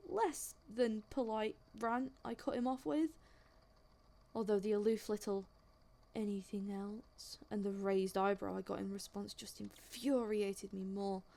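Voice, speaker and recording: A woman's voice: medium pitch, gravelly, darkish tone. A woman playing an indignant, sarcastic young witness in an audio drama, speaking in a colloquial, exasperated way. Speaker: voice actor in a fan audio drama. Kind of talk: audio drama acting, conversational monologue.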